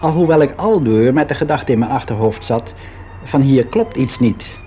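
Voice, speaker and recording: A man's voice: mid-range voice, expressive, slight background noise, lightly acted. Actor as musing older man, dull lo-fi recording. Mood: musing, confiding. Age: older, about sixty. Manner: musing, halting, candid, with drawn-out phrases. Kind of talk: confessional radio drama monologue, conversational and hesitant. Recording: dull lo-fi radio play recording.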